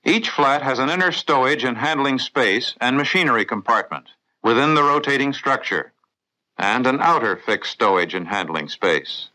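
Bright, forceful American male training-film narrator. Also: mid-range voice, animated, moderate pace, poor audio. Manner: clear, methodical, authoritative explaining. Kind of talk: scripted mid-century instructional film narration. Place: voice-over recorded in a studio.

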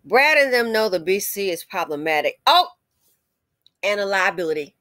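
A woman's voice: medium pitch, very animated, normal speed, bright, forceful tone, no echo, studio quality. An American woman giving blunt, scolding, opinionated commentary with emphatic conversational asides and rhetorical questions as she reads out comments. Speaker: commentary channel host.

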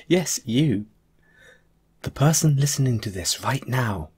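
A man speaking in a soft, breathy, sometimes whispered voice, gently reassuring the listener in an intimate comfort roleplay monologue. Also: mid-range voice, lively intonation, slow. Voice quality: breathy, hushed, at times whispering. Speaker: audio roleplay performer.

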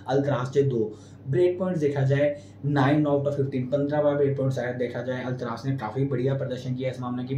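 A young man hosting a post-match tennis analysis to camera in a small room, chatty and brisk, speaking to viewers as friends. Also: medium pitch, warm tone. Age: young adult. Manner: gestures with hands while talking. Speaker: sports video host. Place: small indoor room with window blinds. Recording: roomy echo, faint room noise.

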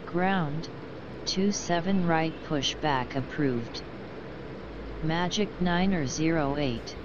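A computer-generated woman voicing a brisk airline pilot, reading clipped, formulaic calls through a radio filter. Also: low-pitched. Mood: brisk, procedural. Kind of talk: clipped air traffic radio readbacks. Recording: dull radio sound over noisy airport ambience.

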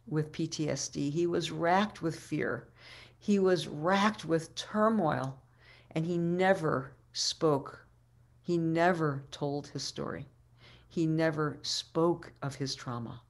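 A woman in her sixties giving a keynote talk as a storyteller, deliberate and dramatic, with pauses and repetition, speaking over a video call from home. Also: sits low. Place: living room at home, indoors. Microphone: webcam video call microphone.

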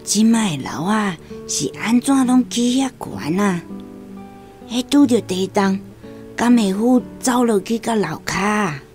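A stubborn, simple old Taiwanese granny in a radio drama. She mutters along very slowly in a soft-edged, mellow tone, with faint music behind her. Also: medium pitch, expressive. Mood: wondering, worried. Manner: slow, muttering to herself. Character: simple, stubborn elderly grandmother living in the city.

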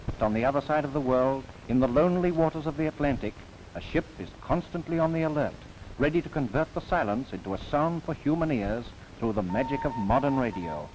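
A man narrating a scripted 1930s documentary film in a formal, measured, earnest manner. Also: mid-range voice, expressive, darkish tone. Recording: noisy 1930s film soundtrack.

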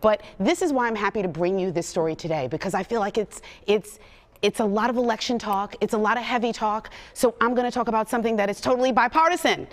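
A woman's voice: medium pitch, quick pace. A middle-aged woman reporting a feature for morning television, chatty and upbeat. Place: TV studio and a restaurant.

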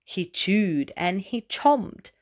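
A woman narrating a rhyming children's storybook aloud with dramatic, deliberate phrasing. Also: medium pitch, big pitch swings, a little mellow.

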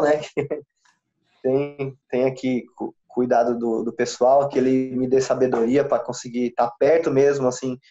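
A Brazilian man in his thirties, a missionary pastor, speaking calmly and haltingly in an interview over a video call from a small room. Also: mid-range voice. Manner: calm, halting, with many restarts. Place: small room indoors, sitting beside his wife. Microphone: laptop camera mic on a video call. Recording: dull lo-fi video call audio.

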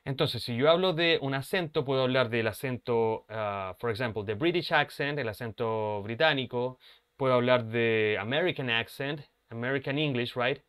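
A man's voice: medium pitch, normal speed, a bit bright, clear, resonant tone. A man, teaching an online English class and checking in with students. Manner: explanatory, checks in with frequent "¿ok?" and "¿ya?". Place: home room, webcam.

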